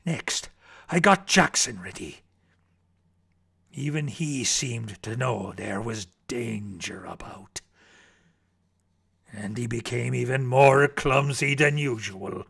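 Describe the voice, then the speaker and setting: A man as a gravelly, theatrical old-time explorer uncle. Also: mid-range voice, takes it slowly, long pauses, pitched below the performer's own voice, full character voice. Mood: hushed, ominous. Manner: theatrical, savoring words, swinging from hushed to urgent. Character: eccentric male explorer uncle recounting his Arctic perils. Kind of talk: dramatic storybook reading.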